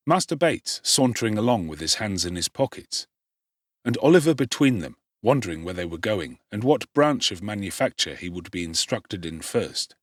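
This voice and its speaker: Deep, expressive male audiobook narrator. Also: no room sound, clean studio sound. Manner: measured, formal storytelling with expressive emphasis. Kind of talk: scripted narration of a classic novel.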